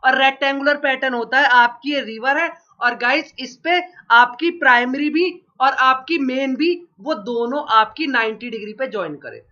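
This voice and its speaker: A man's voice: very high-pitched, moderate pace, few pauses, sharp tone. A man giving an exam-prep geography lecture with a sore throat from a cold, coughing now and then and drilling each point by asking and repeating the answer. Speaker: geography teacher. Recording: lo-fi dull recording.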